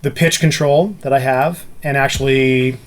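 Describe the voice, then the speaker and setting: A man's voice: medium pitch, steady tone, normal speed. A man talking casually as a music producer, walking through a gear demonstration. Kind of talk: casual explanatory tutorial talk.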